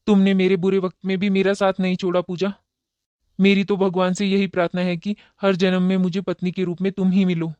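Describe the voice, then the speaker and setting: A man's voice: sits high, dry recording, lightly acted. A man playing a humble, loving young husband in a cartoon moral story, speaking earnestly. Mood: happy, grateful. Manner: earnest, apologetic.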